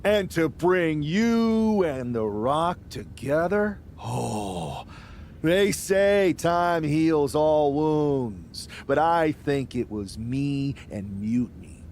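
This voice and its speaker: An actor playing a villainous wrestler and rebel faction leader, mocking, power-hungry and theatrical, in a video game cutscene. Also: male voice, a little high, lively intonation, a little mellow, a little hiss or hum, exaggerated voice. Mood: sarcastic. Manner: theatrical, taunting, deliberate.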